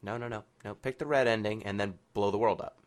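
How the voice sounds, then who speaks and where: A man's voice: fairly low pitch, steady tone, fast talker. A man hosting a casual chat podcast, opinionated and bantering. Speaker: podcast host.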